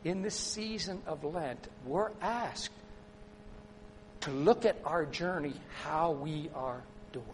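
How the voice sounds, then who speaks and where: A middle-aged man preaching a sermon, telling a personal story and asking the congregation questions, sometimes loud and sometimes soft. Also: fairly high pitch, takes it slowly, slight background noise. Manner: conversational, asks the congregation questions, swings between loud and soft. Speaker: pastor.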